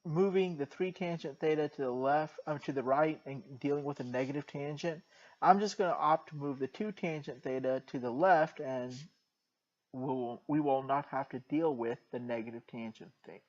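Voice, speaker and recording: A man teaching a math lesson in a soft voice, working through a problem step by step and slipping in dry jokes. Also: fairly high pitch, moderate pace, dull audio. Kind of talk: jokey recorded math lesson.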